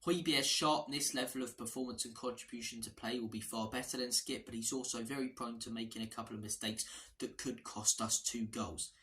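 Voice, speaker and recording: Hoarse guy rambling through a match preview, bright forceful voice, keeps restarting his phrases. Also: mid-range voice, steady tone, brisk, a little hiss or hum. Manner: chatty, digressive, restarts phrases. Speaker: fan channel host. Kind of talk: casual football preview monologue.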